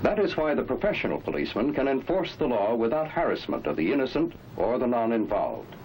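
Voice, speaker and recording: A man's voice: medium pitch, sing-song, fairly quick, a little hiss or hum, low-quality recording. A man narrating a 1960s instructional film in an emphatic, authoritative, rhetorical manner. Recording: old 1960s film soundtrack, loud music. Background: loud music.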